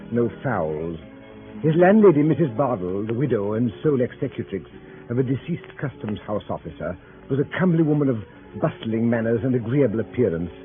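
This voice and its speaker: An actor playing a formal, deliberate club secretary reading out records as narration in a 1930s radio drama. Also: male voice, medium pitch, narrow, tinny audio, close to an everyday voice. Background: music.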